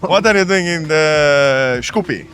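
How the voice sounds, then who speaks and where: A man's voice: fairly high pitch, measured pace, a bit bright. A man with an Albanian accent from North Macedonia, hosting a casual street interview outdoors in a city.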